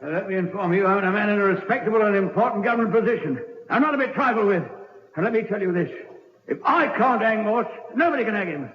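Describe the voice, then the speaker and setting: An actor playing a pompous executioner with an unhinged streak, theatrical, in an old radio drama. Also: male voice, sits high, animated, quick pace, slight background noise. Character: pompous executioner cracking into unhinged murderous grief. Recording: muffled old radio recording, echoey.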